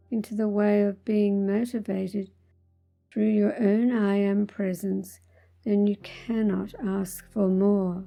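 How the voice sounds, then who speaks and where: Female meditation guide, very mellow and heavily creaky, soothing, deliberate phrasing over background music. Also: mid-range voice. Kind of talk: guided meditation, spiritual talk.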